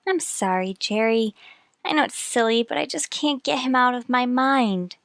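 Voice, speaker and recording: An inquisitive, persistent wife turned amateur sleuth played by a woman in a radio mystery. Her voice sits a little high and is recorded dry and close. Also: animated, normal speed, fairly bright tone, dry recording. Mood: preoccupied. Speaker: actor. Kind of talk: radio mystery drama acting.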